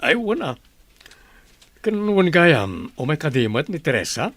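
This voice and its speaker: A man's voice: on the high side, sing-song, measured pace, fairly bright tone, no echo, heavily acted. A man acting a cheerful, doting middle-aged father in an audio drama.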